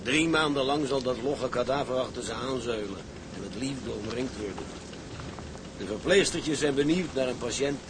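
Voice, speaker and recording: A man's voice: mid-range voice, normal speed, natural voice. An actor playing a cynical, amused seaman in a Dutch radio drama. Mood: sardonic. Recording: noisy track with watery ambience.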